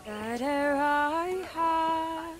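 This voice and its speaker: A woman's voice: very high-pitched, very slow, crowd noise, full character voice. An actress performing a wistful farewell folk ballad, singing as a woman in a game.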